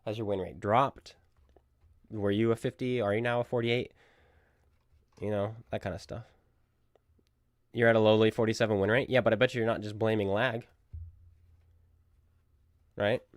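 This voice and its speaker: A man's voice: on the low side, steady tone, moderate pace, pauses often, no room sound, studio quality. Chatty man streaming a tank game. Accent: plain General American, Boston trained out. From New England. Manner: chatty, talks to viewers by name. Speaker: gaming streamer. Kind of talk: casual chat while playing a tank game, reading chat.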